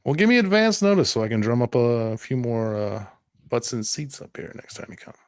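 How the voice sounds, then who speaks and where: A man voicing a burly middle-aged club floor manager, gruff but obliging and laconic, in a tabletop roleplaying game. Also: mid-range voice, big pitch swings, brisk, no echo, clean studio sound. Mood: cautiously obliging.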